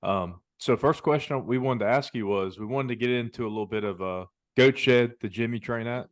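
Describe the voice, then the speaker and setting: A man's voice: mid-range voice, a little fast, dry recording, studio quality. A man hosting a fight podcast, asking casual, friendly questions and calling his guest "man". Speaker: podcast host. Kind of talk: casual interview.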